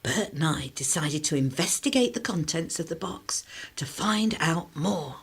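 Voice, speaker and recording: A woman's voice: low voice, animated, unhurried, husky. A woman reading scripted first-person narration for a radio drama.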